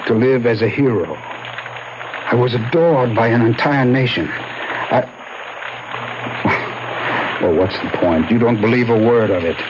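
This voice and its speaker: An actor playing a bewildered, haunted man in a 1970s radio drama, halting and repeating himself in agitation. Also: medium pitch, lightly acted. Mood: troubled. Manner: hesitant, agitated, halting repetitions. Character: troubled, bewildered ordinary man haunted by past life. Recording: muffled, noisy 1970s radio broadcast.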